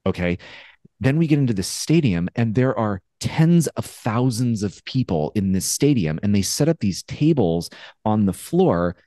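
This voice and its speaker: A man in his forties co-hosting a podcast over a video call, telling a story in a casual, animated way and acting out his own thoughts. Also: medium pitch, no room sound, clean studio sound. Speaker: podcast co-host. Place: home studio with brick wall. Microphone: headphones and studio mic on a video call.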